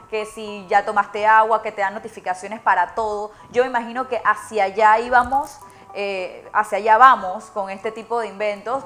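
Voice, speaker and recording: A woman's voice: mid-range voice, a bit bright. A woman co-presenting in a TV news studio, chatting casually and expressively with fillers. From Panama. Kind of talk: studio banter.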